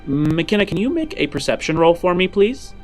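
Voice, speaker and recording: A man's voice: on the high side, very animated, moderate pace. A man running a tabletop roleplaying game as game master, narrating scenes in descriptive storytelling. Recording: music under the voice.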